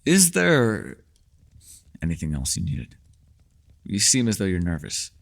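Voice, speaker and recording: A man's voice: low-pitched, creaky, full character voice. A man playing a mysterious, ageless male elf in a fantasy ASMR roleplay, teasing yet secretive, with courteous, formal, old-fashioned phrasing. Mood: polite, evasive.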